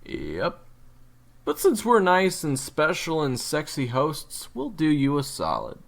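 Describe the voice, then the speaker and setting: Audio drama casting: man playing sarcastic young monster hunter, brash and slangy, creaky edge, lively swings in pitch. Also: slow, heavily acted. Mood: mocking, cocky. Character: brash, crude, cocky young male monster-hunting sword saint. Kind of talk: audio roleplay acting.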